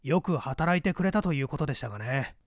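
男性が演じる冷静で抑揚豊かな刑事. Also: male voice, a little high, lively intonation, quick pace, dry recording, clean studio sound, natural voice. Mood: matter-of-fact. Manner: composed, methodical, polite. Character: calm, shrewd male police detective reporting. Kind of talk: dramatized audiobook reading.

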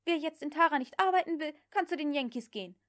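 Woman voicing a sharp, high-pitched, commanding young Southern lady. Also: expressive, fast talker. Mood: curt, commanding. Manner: sharp, curt orders. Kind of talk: audiobook character dialogue.

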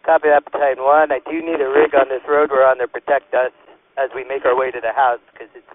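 A muffled two-way radio with faint noise carries a man's voice. He is a firefighter in the field, reporting to command in a medium pitch. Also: flat delivery, fast talker. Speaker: firefighter, engine company officer.

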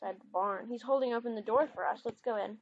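A woman's voice: fairly high pitch, quick pace, no room sound, muffled recording. A woman chatting casually as she role-plays through a video game as the host. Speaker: gaming video host, mother playing with her daughter. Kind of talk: casual role-play chat over gameplay.

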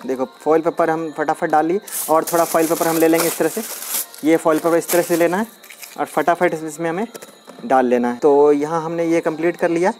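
A man's voice: a little high, fairly even intonation, brisk, a little mellow, soft background music. Chatty man demonstrating a home experiment. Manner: chatty, explains step by step. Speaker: DIY experiment vlogger. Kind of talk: casual hands-on demonstration. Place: outdoors on a concrete floor, at dusk.

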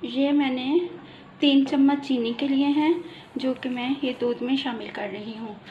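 A woman's voice: high voice, steady tone, a little slow, highs cut off, a little hiss or hum. A woman hosting a cooking channel, patiently explaining each step of a recipe as she works in her home kitchen on a handheld phone.

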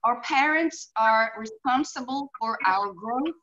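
A woman's voice: a little high, takes it slowly, sharp tone. Finnish-accented woman on a muffled video call. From Finland. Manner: earnest, explains with examples, frequent "you know" and "right?". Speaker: horse behaviorist guest. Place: home room indoors. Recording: muffled video call audio with faint hiss.

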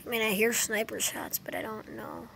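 A young woman talks through her mobile game in a casual, self-directed mutter. Her voice sits fairly high with noticeable fry. The phone recording carries faint background noise and some room ambience. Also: huge pitch swings, normal speed, pushed and bright, rough recording. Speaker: gamer recording gameplay. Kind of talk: casual gameplay commentary. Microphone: phone screen recorder microphone.

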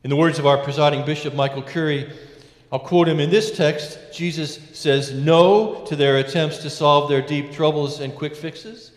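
A middle-aged man, a priest, preaching a sermon from a lectern in a large church, measured with emphatic rises and hushed endings. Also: a little high, fairly even intonation. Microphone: lectern mic, far camera. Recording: echoey church with long reverb.

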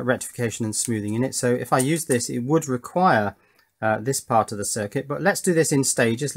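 A middle-aged man presenting an informal hands-on electronics demonstration, unhurried and thinking aloud as he explains. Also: mid-range voice.

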